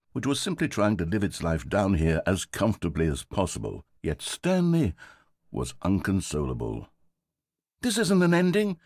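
An actor playing a dry, sardonic male British storybook narrator in a video game, with a slightly husky voice. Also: fairly low pitch, no room sound, exaggerated voice. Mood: mock anguish. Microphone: close studio mic. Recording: dry studio recording.

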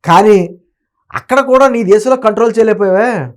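A man's voice: sits high, normal speed. A man giving opinionated political commentary as an interview guest, emphatic and argumentative, often posing rhetorical questions.

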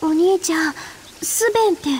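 Very high-voiced sweet young woman. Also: normal speed, a little hiss or hum. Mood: worried. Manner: soft-hearted, polite. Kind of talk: Japanese anime-style game voice acting.

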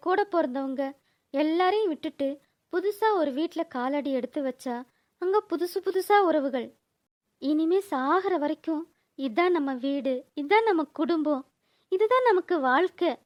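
A woman voicing a gentle, earnest young daughter-in-law in a cartoon. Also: very high voice, no room sound, muffled recording. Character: dutiful, soft-hearted young daughter-in-law. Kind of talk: cartoon voice-over dialogue.

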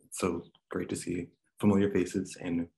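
A man's voice: sits low, flat delivery, moderate pace, gravelly, mellow. A man from Miami giving a casual, friendly self-introduction on a video call. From Miami, Florida. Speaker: roundtable participant.

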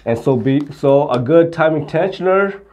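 A man's voice: on the high side, expressive, moderate pace, a little mellow, a little hiss or hum. A middle-aged man explaining car repair to camera in a plain-spoken, step-by-step way. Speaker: auto repair instructor. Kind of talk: hands-on instructional explanation to camera.

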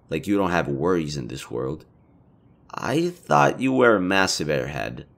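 Man acting as low-voiced, awkwardly flirty elven leader. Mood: earnest, admiring. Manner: conversational, hesitant, rambling, casually profane. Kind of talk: intimate roleplay audio drama.

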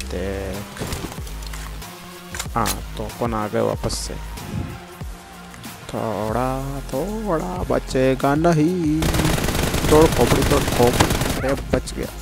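A man with a sore, hoarse throat, giving excited trash-talking gameplay commentary. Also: mid-range voice. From India. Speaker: gaming channel host. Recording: commentary over loud game music and gunfire.

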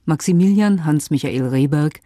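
Mellow, dry-recorded radio announcer. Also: medium pitch, normal speed, no room sound. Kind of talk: formal scripted cast and credits announcement.

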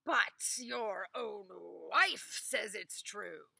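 A woman voicing a stern landowning master who questions gravely and loudly. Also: medium pitch, very slow, no echo, full character voice. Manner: gravely demanding.

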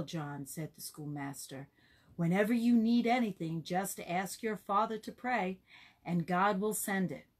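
A woman reading a story aloud to children in a conversational way, voicing the quoted dialogue and explaining things as she goes. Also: on the low side.

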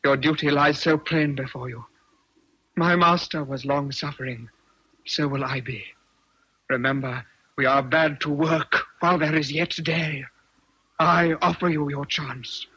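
A man's voice: a little high, fairly even intonation, measured pace, bright, forceful tone, slightly rough, dry recording, muffled recording, exaggerated voice. An actor with an English accent playing a stern, zealous Victorian clergyman suitor in a radio drama, solemn and commanding. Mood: pleading, insistent. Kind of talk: BBC radio drama acting.

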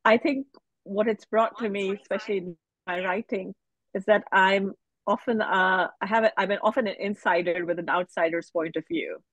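A woman's voice: mid-range voice, fairly even intonation, moderate pace, no room sound. Reflective woman on a dull call line. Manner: reflective, restarting phrases. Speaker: novelist panelist. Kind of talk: casual panel discussion. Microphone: video call. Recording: dull video call audio.

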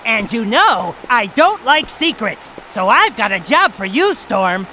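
A man's voice: extremely high pitch, very animated, measured pace, pushed and bright, slight background noise, heavily acted, low-quality recording. A man playing a cocky, commanding young gang boss in a video game, bossy and brash. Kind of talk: video game cutscene acting.

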